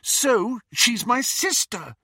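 A man's voice: very high voice, animated, slow pace, sharp tone, slightly rough, no room sound, clean studio sound, pitched above the performer's own voice, full character voice. A man voicing a sensitive but proud young girl in an audiobook, earnest, pleading and wounded in manner. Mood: tearful, upset. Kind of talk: audiobook character reading.

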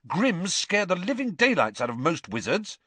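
A man's voice: medium pitch, huge pitch swings, unhurried, a bit bright, no echo, pitched above the performer's own voice, exaggerated voice. A man voicing an earnest, superstitious, hot-headed schoolboy in an audiobook, plain-spoken and easily riled. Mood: angry. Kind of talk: audiobook character voice.

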